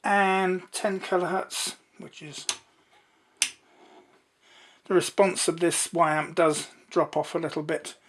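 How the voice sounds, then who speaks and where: A presenter giving an informal hands-on technical demonstration in an unhurried, explanatory way. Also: medium pitch, steady tone.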